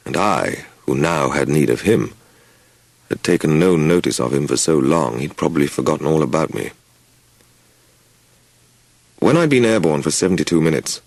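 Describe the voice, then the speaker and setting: A man reading a first-person story as an audiobook narrator, with measured, deliberate phrasing and dramatic pauses. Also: very deep voice, vocal fry, darkish tone, no room sound.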